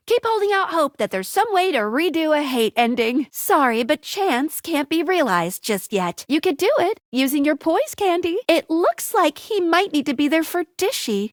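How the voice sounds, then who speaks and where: A woman's voice: high-pitched, no echo, clean studio sound. A woman playing a perky, upbeat young female guide in a dating video game, with a playful, quirky and earnest manner. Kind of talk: video game character voice acting.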